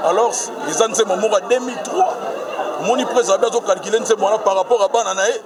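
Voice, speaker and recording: Very high-voiced man over crowd. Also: fairly even intonation, quick pace. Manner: talks mostly Lingala with French words, discursive.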